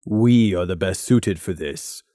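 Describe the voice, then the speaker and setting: A woman playing a dutiful, cautious pony officer with a measured, earnest way of talking in an audiobook. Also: rumbling low, warm tone, no echo, studio quality, pitched below the performer's own voice. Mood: stern. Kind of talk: fan fiction audiobook character voice.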